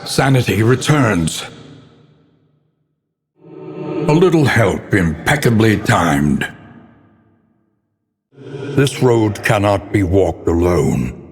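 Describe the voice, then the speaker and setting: Grave gothic game narrator, male, low-pitched, raspy and gravelly, solemn, ominous, theatrical, long reverb tail. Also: big pitch swings, extremely slow, long pauses, full character voice. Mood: solemn, measured. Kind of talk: scripted dramatic game narration.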